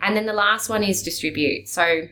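A woman presenter, a guest in an interview, speaking loudly and emphatically with upbeat motivational energy. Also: mid-range voice, normal speed, slight background noise. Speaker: guest, branding specialist and broadcast presenter.

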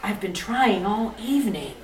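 A woman's voice: medium pitch, expressive, moderate pace, recorded from a distance, natural voice. A woman playing a poised, affectionate sleuth's wife in a retro radio comedy, coaxing and playful. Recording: echoey room, off-mic, background noise.

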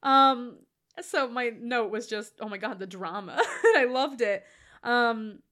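A woman's voice: on the high side, a little slow, bright, forceful tone. A woman co-hosting a casual film-review chat, sounding stuffy and nasal, giggling and gushing with lots of "like" and restarts. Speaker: podcast co-host.

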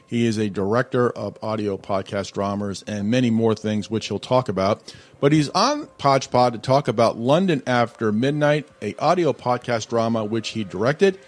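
A man's voice: mid-range voice, moderate pace. A man hosting an interview podcast in a casual, conversational style.

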